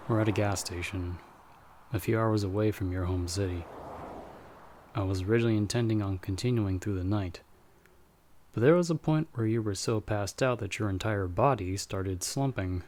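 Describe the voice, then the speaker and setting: A man playing a dry, guarded but protective vampire hunter in an ASMR audio roleplay, speaking in a measured, wry way. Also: fairly low pitch, a little mellow, lightly acted. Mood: calm, explanatory. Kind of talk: ASMR audio roleplay, scripted monologue to listener.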